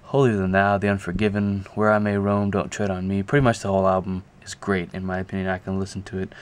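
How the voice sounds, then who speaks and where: A man giving a casual, unscripted show-and-tell to a camera, talking haltingly and thinking aloud as he goes. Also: low-pitched, monotone. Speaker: video creator showing a music collection. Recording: clear background noise, amateur camera audio.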